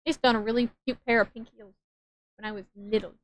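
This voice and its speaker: A woman's voice: a little high, fairly even intonation, quick pace. A woman giving casual, rambling gaming commentary in an informal, chatty way. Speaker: gaming video creator. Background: game music.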